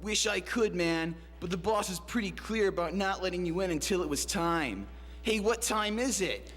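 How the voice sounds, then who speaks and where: A man's voice: high voice, animated, moderate pace, fairly bright tone. A man playing a breezy, unhelpful slacker doorman in a comic radio play, with casual, nonchalant talk. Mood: laid-back. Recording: echoey hall with music behind.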